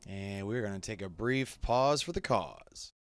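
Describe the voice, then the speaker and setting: A man giving conversational, off-the-cuff behind-the-scenes commentary in an animated way. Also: lowish voice, measured pace. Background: game cutscene dialogue and ambience.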